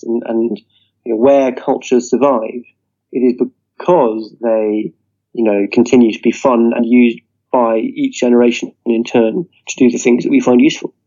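A man with a mellow, relaxed tone makes an earnest political argument. He works through his points steadily and often leans on "you know". The audio sounds dull and lo-fi. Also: mid-range voice. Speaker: interview guest. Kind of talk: political discussion.

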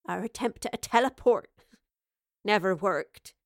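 A woman's voice: mid-range voice, expressive, unhurried, no echo. Storybook role: female narrator as kindly, weary old woman, colloquial and wistful, dry close sound. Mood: chuckling, dismissive. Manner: homely, colloquial, wistful speech. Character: Evie, weary kindly working-class old woman of far future.

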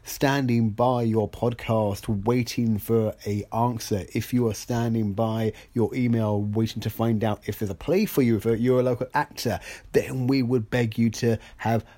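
A man's voice: medium pitch, fairly even intonation, moderate pace, warm tone. A British man co-hosting a podcast in a casual, chatty way, with wry, dry asides and wordy, hesitant phrasing that circles around. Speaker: podcast co-host.

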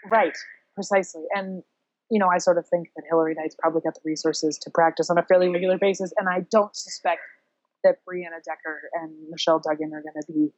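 A woman's voice: lowish voice, steady tone, fast talker, no room sound, dull audio. A woman co-hosting a podcast, musing casually and a little wryly about sports. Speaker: podcast co-host.

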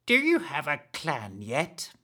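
A man's voice: a little high, huge pitch swings, slow, bright, forceful tone, voice pushed up, exaggerated voice. A man voicing a scruffy, greedy older woman who hisses her words, in a storybook reading. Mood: hissing. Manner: hissing, conspiratorial.

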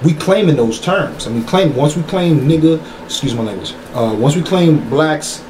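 A man's voice: a little high, lively intonation, moderate pace. A man, a guest musician, talking loosely and at length about his album, often repeating and restarting phrases. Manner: loose, rambling, repeats himself. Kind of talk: casual podcast talk. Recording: echoey room with faint noise.

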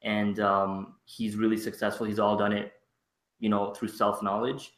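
A man's voice: fairly low pitch, level tone, a bit bright, roomy sound. A young man from Canada, a guest in a casual conversation, speaking hesitantly with lots of fillers. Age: young adult. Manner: hesitant, halting, many fillers.

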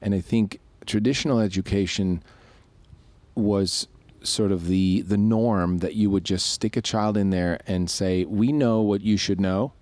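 A man's voice: fairly low pitch, measured pace, a little mellow, no room sound. A man from Switzerland co-hosting a podcast, explaining ideas in a loose, reflective way with many restarts. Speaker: podcast co-host. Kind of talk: casual podcast conversation.

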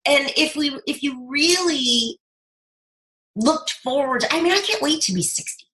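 A woman's voice: high voice, lively intonation, measured pace, bright, forceful tone, rough recording. A woman in her fifties, a speaker and brand coach, talking in an emphatic, persuasive way as an interview guest. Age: 50s. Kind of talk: podcast interview conversation.

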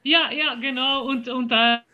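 A woman from Belgium with a Belgian accent, answering interview questions as a country singer, pausing often to search for words. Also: high-pitched, steady tone, lo-fi sound. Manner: halting, searches for German words with many fillers.

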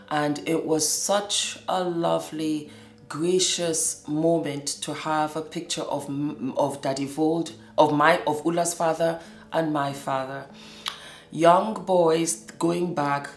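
Lively woman host in an echoey room. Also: low-pitched. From Scotland. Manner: animated, laughs often. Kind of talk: casual personal monologue.

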